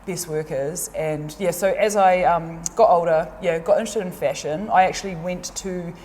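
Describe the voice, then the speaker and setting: A woman's voice: fairly low pitch, steady tone, a little mellow. A young adult woman with a New Zealand accent, a guest artist talking casually in an interview, chatty with lots of fillers. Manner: chatty, many fillers, quick laughs. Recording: long reverb, faint background noise.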